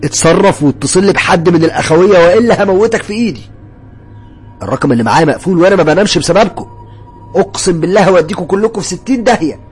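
A man with an Egyptian accent voicing a sleepless, desperate, hot-tempered doctor in a horror story, agitated and shouting. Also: a little high, rapid-fire, husky, soft background music, voice pushed up. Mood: angry threats.

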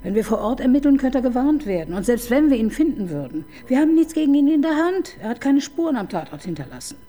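A woman's voice: sits high, big pitch swings, fast talker, dark tone. An actress in her fifties playing a dry, sardonic woman police chief inspector in a radio crime drama. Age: 50s. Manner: dry, sarcastic.

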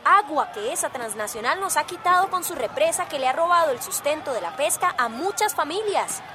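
A woman's voice: sits high, animated, a little fast. A woman playing a defiant, passionate village protest leader in a radio drama, speaking in an emphatic, declamatory way amid a noisy crowd. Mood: indignant, earnest. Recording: noisy street crowd behind.

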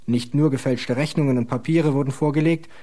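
A man's voice: mid-range voice, steady tone, a little fast, warm tone. A man narrating a scripted radio documentary in a pointed, explanatory manner.

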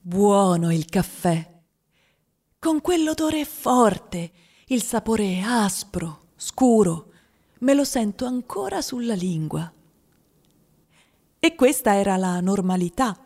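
A woman playing a wistful grown-up who was a fat child, in a deliberate, theatrical radio monologue. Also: medium pitch, expressive, a little mellow. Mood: savouring, nostalgic. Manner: deliberate, dramatic delivery with drawn-out lists. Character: wistful formerly fat grown-up recalling a greedy, mother-adored childhood.

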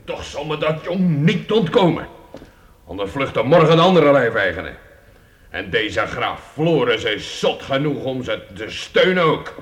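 A man's voice: mid-range voice, sing-song, unhurried, fairly bright tone. An actor playing a domineering medieval knight who barks orders and scorns his servant in a youth radio drama. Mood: scheming.

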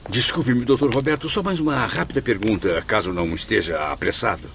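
An actor in a radio soap playing an eager, polite novelist who flatters effusively. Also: male voice, fairly low pitch, brisk, a little hiss or hum. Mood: polite, hopeful. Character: eager, flattering Portuguese novelist, vain but earnest. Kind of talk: radio soap opera acting and narration.

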